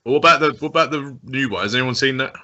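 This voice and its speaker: A man's voice: mid-range voice, quick pace, pushed and bright, lo-fi sound. A man chatting casually with a group as a podcast co-host.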